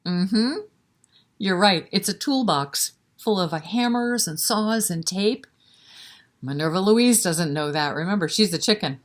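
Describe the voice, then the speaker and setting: A woman's voice: medium pitch, unhurried. A woman leading a children's story time, reading a picture book expressively and chatting to the children with questions and encouragement. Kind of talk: animated picture-book read-aloud with asides to children.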